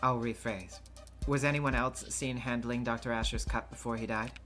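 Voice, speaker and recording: A woman voicing a poised, formal courtroom prosecutor from a visual novel, measured and teasing. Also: very deep voice, fairly even intonation, a bit bright, faint music behind. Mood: formal, probing. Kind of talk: character acting in a visual novel playthrough.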